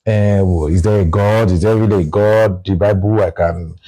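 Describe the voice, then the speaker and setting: A Nigerian man talking through his views in a relaxed conversation, often restarting his phrases. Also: fairly low pitch, normal speed. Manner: reflective, restarts phrases, explains with examples. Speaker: guest. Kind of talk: casual conversation.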